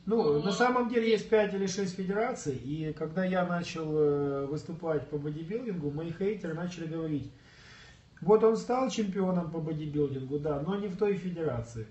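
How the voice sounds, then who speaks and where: A man's voice: fairly high pitch, moderate pace, a little mellow. A bodybuilding coach, slightly ill and coughing now and then, telling his story in casual livestream chat. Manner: earnest, storytelling, clears throat and coughs. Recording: echoey room, far from mic, dull.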